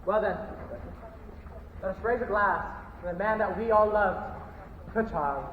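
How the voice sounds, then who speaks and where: A man's voice: high voice, moderate pace, a little hiss or hum, close to an everyday voice. A young man acting a haughty, scheming brother of the deceased in a melodramatic, declamatory stage farce style. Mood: solemn. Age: teenager playing an adult. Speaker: high school student actor. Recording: muffled, echoey hall rehearsal from far off.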